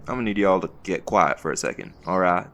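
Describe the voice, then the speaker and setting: A very low-voiced commander played by a man speaks with calm, folksy authority. He sounds firm and in charge, an audio drama leader of magical hunters, over a noticeable bed of background noise. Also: fast. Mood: commanding. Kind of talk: audio roleplay acting.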